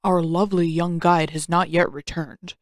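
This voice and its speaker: Lady doing a calm old uncle for a story, lower voice, formal and patient, dry sound. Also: dry recording, natural voice. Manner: slow, measured, formal. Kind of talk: audiobook character voice.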